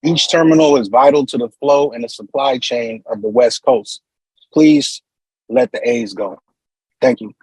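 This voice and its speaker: A husky man reads a prepared public comment over a remote call. His voice sits in a middle register, and the audio is close and dry. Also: mid-range voice, unhurried, a little mellow, dry recording, poor audio. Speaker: union representative giving public comment.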